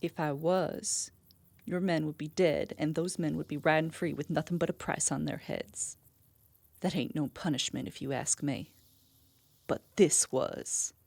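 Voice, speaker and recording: A defiant frontier wife out for vengeance in an audio drama. Her voice sits fairly low, recorded very dry, with a bold, sardonic edge. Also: fairly even intonation, unhurried, gravelly, darkish tone, no room sound, heavily acted. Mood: cool, triumphant. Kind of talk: western audio drama acting.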